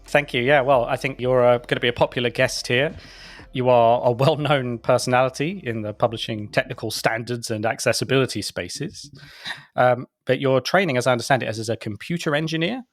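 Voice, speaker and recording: A man's voice: mid-range voice, brisk. A man hosting a podcast interview, friendly with joking asides. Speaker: podcast host.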